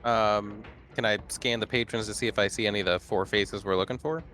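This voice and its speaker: A man with a moderately low voice chats casually as a player in a tabletop roleplaying game, with light music in the background. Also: steady tone, fairly quick, fairly bright tone.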